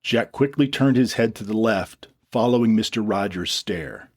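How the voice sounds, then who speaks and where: A man's voice: lowish voice, normal speed, dry recording, clean studio sound. A man reading scripted, dramatic story narration as the narrator.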